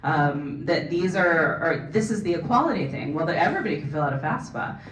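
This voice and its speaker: Low-voiced Californian woman on a panel. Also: animated. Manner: earnest, frequent "right?" tags, quick asides. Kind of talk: panel discussion answer. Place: forum event hall. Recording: echoey hall, mic at a distance.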